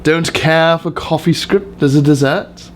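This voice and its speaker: A man's voice: fairly high pitch, unhurried, a little hiss or hum. A man giving a pompous, theatrical dramatic reading of an essay with exaggerated emphasis.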